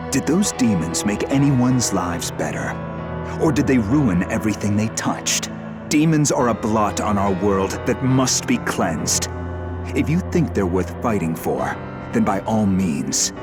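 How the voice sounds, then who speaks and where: A man playing a ruthless, zealous human warrior in a video game, contemptuous and commanding, with music behind him. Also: on the low side. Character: ruthless, zealous human man who despises demons and weakness. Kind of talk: dramatic video game voice acting. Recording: loud game music underneath.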